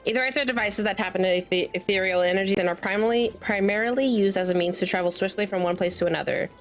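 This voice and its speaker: Woman, mid-pitched voice, casual livestream gamer, chatty, playful, self-interrupting, game music behind. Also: fast talker, low-quality recording. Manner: reads game dialogue aloud, stumbling over fantasy names. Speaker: streamer, gamer. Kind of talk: casual let's play livestream commentary. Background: video game music.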